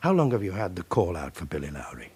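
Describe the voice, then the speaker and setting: A man playing a shrewd senior police officer in a radio crime drama, authoritative, clipped, deliberate and sceptical. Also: low-pitched, big pitch swings, a little mellow, breathy edge, full character voice. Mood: stern, persuasive.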